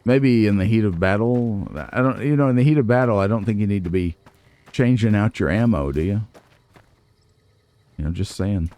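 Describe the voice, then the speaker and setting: A man's voice: lowish voice, moderate pace, warm tone. A man hosting a let's play, chatting casually and playfully to himself and viewers with folksy, wry asides. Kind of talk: casual game commentary, talking to himself and the viewers.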